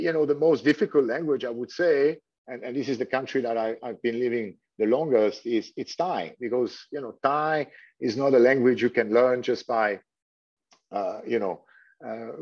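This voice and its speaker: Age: middle-aged. Native: French. Origin: Switzerland. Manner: halting, filler-heavy. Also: male voice, mid-range voice, a little mellow. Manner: hesitant, many fillers and restarts. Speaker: hotel general manager. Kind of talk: conversational interview answers. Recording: dull lo-fi sound.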